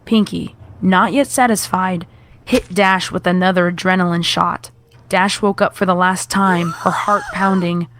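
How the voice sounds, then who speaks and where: A woman's voice: lowish voice, fairly even intonation, a little slow, fairly bright tone, slight background noise. A woman narrator reading a scripted horror story.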